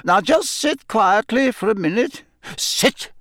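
Man putting on a brisk scolding school nurse, high-pitched. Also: animated, fairly bright tone, dry recording, clean studio sound. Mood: indignant, scolding. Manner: indignant, bossy fussing.

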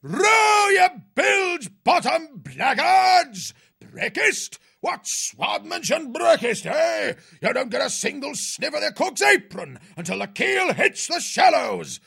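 A man voicing a bossy military hare sea-captain in a storybook, with a raspy British bark and pompous bluster. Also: high voice, big pitch swings, moderate pace, no room sound, studio quality, voice pushed up, full character voice. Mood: roaring, scolding. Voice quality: raspy, gravelly shout.

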